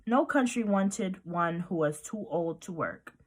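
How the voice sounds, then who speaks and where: Female read-aloud narrator, lively swooping intonation, expressive picture-book storytelling. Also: fairly low pitch, a little slow.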